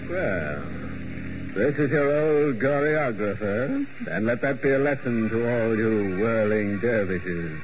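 A man's voice: mid-range voice, expressive, slow pace, noisy background. An actor playing a macabre male horror host and narrator, sardonic and fond of grim puns, in a 1940s radio drama. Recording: dull old radio recording with music behind.

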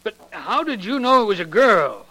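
An actor playing a down-to-earth police sergeant with informal, slangy talk in a 1940s radio drama. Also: male voice, high-pitched, sing-song, moderate pace, sharp tone, heavily acted, low-quality recording. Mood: puzzled, chummy.

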